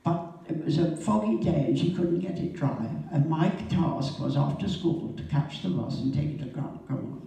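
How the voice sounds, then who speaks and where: A woman, telling her wartime memories to a church congregation in a halting way, with pauses and throat-clearing. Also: low voice, lively intonation, dark tone. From Norwich, England. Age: 80s. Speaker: guest speaker sharing memories. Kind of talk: personal storytelling to a congregation. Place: church hall. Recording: echoey church hall from far off.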